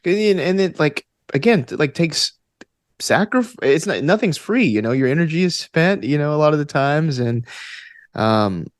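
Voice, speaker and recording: A man's voice: fairly high pitch, expressive, normal speed, dry recording, clean studio sound. A man speaking as a podcast guest in casual, reflective conversation, earnest and encouraging, often repeating phrases for emphasis. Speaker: podcast guest, actor and game master.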